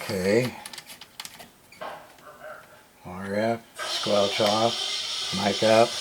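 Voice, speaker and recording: Slow-talking, rambling man over loud noise. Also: on the low side, steady tone. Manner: rambling, muttering to himself, folksy and plain-spoken. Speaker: CB radio repair hobbyist. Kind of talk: casual hands-on repair video narration. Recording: noisy, with clicks and radio sound.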